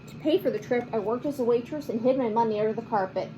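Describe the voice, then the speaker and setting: A woman reading a picture book aloud to children in an expressive, animated manner, with chatty asides and simple explanations. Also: fairly high pitch, quick pace, noisy background. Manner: animated, acts out lines and explains words to children. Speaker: children's read-aloud host.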